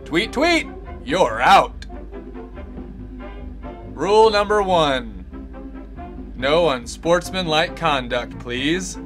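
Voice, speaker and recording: A man's voice: fairly high pitch, expressive, drawn-out words, pauses often, a bit bright, full character voice. A man playing a prim, mock-polite narrator of an old-time instructional film parody, scolding in a sing-song way. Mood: prim, admonishing. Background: music.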